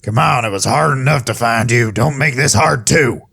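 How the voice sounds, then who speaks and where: A man playing a gruff, impatient creature companion in an audio drama, with a harsh, grating voice. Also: mid-range voice, normal speed, fairly bright tone.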